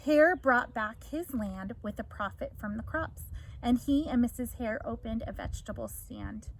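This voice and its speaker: A woman's voice: on the high side, moderate pace, slight background noise, low-quality recording. A woman teacher reading a story aloud to children, explaining as she goes and prompting them with questions.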